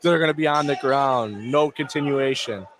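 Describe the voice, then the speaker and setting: Male play-by-play announcer, medium pitch, clipped running delivery, clear gym noise and ball bounces behind. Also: normal speed, fairly bright tone. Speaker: play-by-play basketball commentator. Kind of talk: live sports radio commentary. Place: indoor basketball gym. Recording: noisy gym ambience behind. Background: basketball bounces, gym noise.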